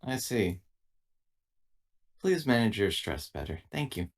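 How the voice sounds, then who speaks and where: A man voicing a polite, dutiful female android in a tabletop game, speaking in formal, literal, measured phrases. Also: lowish voice, big pitch swings, creaky, heavily acted. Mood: politely attentive.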